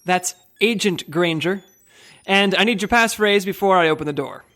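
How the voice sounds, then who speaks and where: A woman's voice: lowish voice, moderate pace, fairly bright tone, natural voice. A woman playing an officious, easily exasperated agent in charge in a comic audio drama, with a fussy, insistent delivery.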